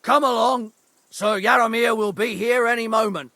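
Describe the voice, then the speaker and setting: An actor playing a blunt, rustic servant guarding a gate in a medieval video game, calling out plainly. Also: male voice, extremely high pitch, steady tone, normal speed, bright, forceful tone, dry recording, exaggerated voice. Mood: obliging. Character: rough, uneducated male servant guarding a gate. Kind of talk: video game cutscene acting.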